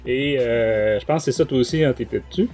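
A man's voice: medium pitch, fairly quick, darkish tone, faint music behind. Québécois man chatting on a talk show. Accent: Québécois French. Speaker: podcast host. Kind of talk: casual talk show chat.

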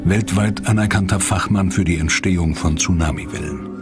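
A man's voice: low-pitched, normal speed. A man reading a scripted documentary narration as its narrator. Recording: loud music under voice. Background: loud music.

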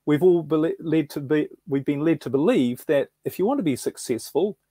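A man's voice: on the high side, lively intonation, brisk, dark tone, husky, no echo, studio quality. New man recounting his story. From New Zealand. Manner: reflective, frequent restarts and self-corrections. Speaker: guest. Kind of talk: interview storytelling. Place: small room indoors. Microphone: video call.

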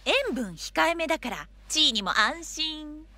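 Gentle, slow-spoken cartoon mother. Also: sits high, sing-song, full character voice. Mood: pleased. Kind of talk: children's cartoon acting. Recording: loud background music.

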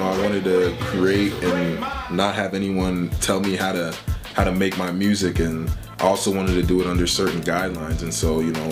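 A young man talking casually about his music label, with lots of "you know" fillers. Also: deep voice. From Liberia. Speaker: rapper and label owner. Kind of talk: casual sit-down interview. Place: small home studio indoors.

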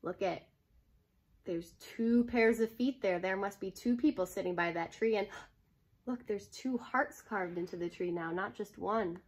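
A woman's voice: mid-range voice, a little slow, slightly rough. A young woman reading a children's picture book aloud, explaining and asking simple questions as she goes. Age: young adult. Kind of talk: children's storybook read-aloud with explanatory asides. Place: furnished room at home, indoors.

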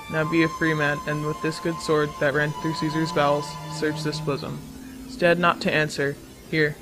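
Guy playing a doomed roman general in an old-time radio play, declaiming, fairly high voice, pretty even pitch, music behind. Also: close to an everyday voice, low-quality recording. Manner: declamatory verse delivery. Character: proud, despairing Roman general giving commands.

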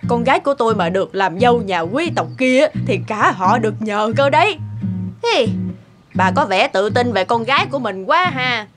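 An actress playing a boastful, snippy village mother in an animated folk tale, with a bragging, catty manner. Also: on the high side, normal speed, over music, close to an everyday voice.

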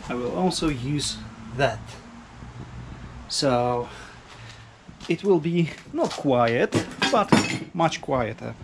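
A man casually narrating a DIY vlog in an informal, halting, self-deprecating way. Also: mid-range voice, lively intonation, vocal fry, slight background noise. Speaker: motorcycle hobbyist, video host.

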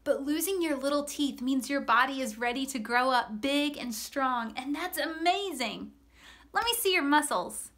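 A woman in her thirties hosting story time for young children, expressive, animated and encouraging, in simple phrases. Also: high voice, normal speed. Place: small room with cloth backdrop. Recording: roomy echo, music behind.

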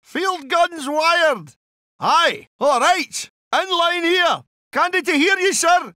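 A cheeky Scottish artillery gunner for a strategy war game, barking short orders back with a slow, deliberate delivery. The voice sits high and bright. It is recorded dry with no room sound. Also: male voice, expressive, no echo, full character voice. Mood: clipped. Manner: clipped barked acknowledgements. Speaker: artillery crew unit voice. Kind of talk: video game unit responses.

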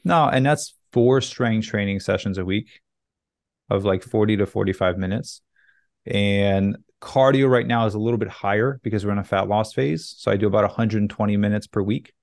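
A man's voice: lowish voice, moderate pace, vocal fry, no echo. A young adult man talking casually and candidly as a fitness coach in an interview, close on a desk microphone. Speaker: fitness coach, podcast guest. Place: indoor home office, video call.

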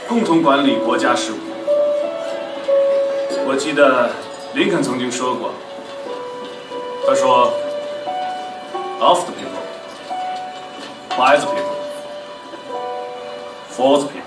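An actor playing an earnest, idealistic revolutionary teacher in a film, speaking in a measured, solemn, oratorical way. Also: male voice, mid-range voice, lightly acted. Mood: earnest, stirring. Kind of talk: dramatic film speech. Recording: film played through echoey hall speakers, distant. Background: film music.

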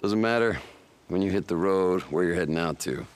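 An actor playing a weary, cynical American biker with a gravelly voice, in a naturalistic video game scene. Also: male voice, sits low, lively intonation, normal speed. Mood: wry, reflective. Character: weary, burly, cynical biker, loyal old friend. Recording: faint background noise.

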